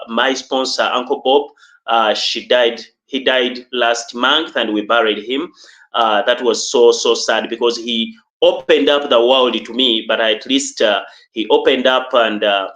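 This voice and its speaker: A man's voice: medium pitch, fairly bright tone. A man with a Ugandan accent giving interview answers and personal stories in a formal, emphatic way with repeated phrases. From central Uganda. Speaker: coach and trainer, podcast guest. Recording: echoey room.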